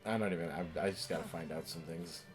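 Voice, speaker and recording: A man's voice: low-pitched, steady tone, fast talker. A man hosting a gaming show, giving casual, chatty, musing commentary as he plays with a co-host. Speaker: host of a let's-play show. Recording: game music behind the voice. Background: video game music.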